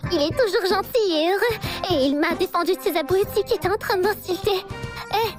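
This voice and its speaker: A woman playing a cute, lovelorn, pushy high-school girl in an anime fan dub, melodramatic and coquettish. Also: fairly quick. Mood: smitten. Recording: music playing behind the voice.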